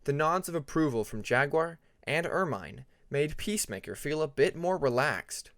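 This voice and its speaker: A man narrating a scripted fantasy story as an audiobook reader, with deliberate, dramatic phrasing. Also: a little high, animated, a bit bright, no room sound, clean studio sound. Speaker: audiobook narrator of fan fiction.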